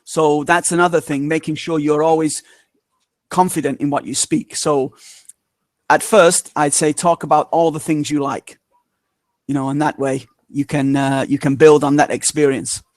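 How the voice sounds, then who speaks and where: A British man from Manchester, answering interview questions on a video call in a relaxed, explaining way with lots of small fillers. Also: fairly high pitch, moderate pace, no echo. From Manchester, England. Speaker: interview guest, native English speaker.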